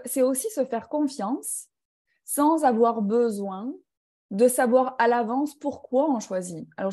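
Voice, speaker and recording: A woman's voice: a little high, dark tone. A woman leading an online personal growth class, speaking reflectively with frequent hesitations. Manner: reflective, hesitant with many fillers. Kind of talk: live online talk to participants.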